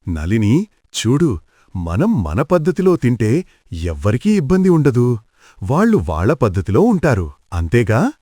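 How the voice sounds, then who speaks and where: A man's voice: medium pitch, huge pitch swings, unhurried, pauses often, warm tone, dry recording, clean studio sound. A man voicing an elderly, old-fashioned village father-in-law who grumbles in a cartoon family story. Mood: calm, practical.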